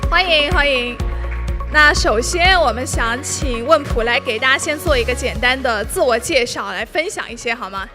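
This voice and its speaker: A woman's voice: sits high, lively intonation, moderate pace, over music. A woman hosting a live esports event on stage, introducing players in Mandarin and English with upbeat, formal announcer phrasing. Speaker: bilingual event emcee.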